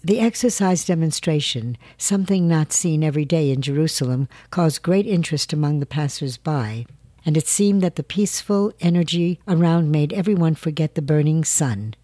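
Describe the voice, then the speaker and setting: A news narrator reads the script in a calm, steady way. The voice is mellow and mid-pitched, recorded close and dry. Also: normal speed, no room sound.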